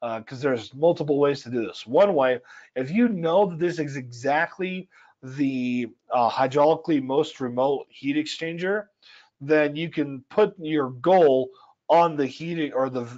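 A man with a dry, scratchy voice, explaining a software tool step by step in a casual, filler-filled way. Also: on the high side, a little slow, dull audio. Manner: explanatory, conversational, with frequent fillers. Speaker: software trainer. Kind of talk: screen-share software tutorial. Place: home or office at altitude.

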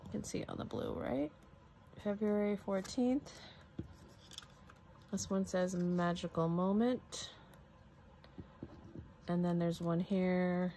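Slow-paced, chatty woman unboxing crafts. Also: fairly low pitch, fairly even intonation, warm tone, a little hiss or hum. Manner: chatty, musing aloud to herself. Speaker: crafter showing a product haul. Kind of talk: casual unboxing commentary. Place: indoors at a craft table.

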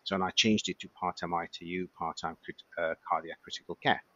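A man's voice: sits low, fairly even intonation, brisk, sharp tone, no echo, dull audio. A Hungarian-accented man in his fifties, an emergency physician, chatting in an interview with many fillers and restarts. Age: 50s. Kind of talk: casual interview chat.

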